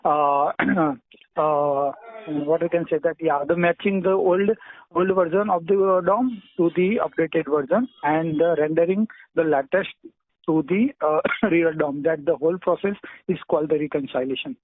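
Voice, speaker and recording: A man with an Indian accent answering technical interview questions over the phone, hesitant and halting, often clearing his throat. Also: fairly high pitch, fairly even intonation. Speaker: job candidate being interviewed. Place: phone call. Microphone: telephone. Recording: tinny narrowband telephone line.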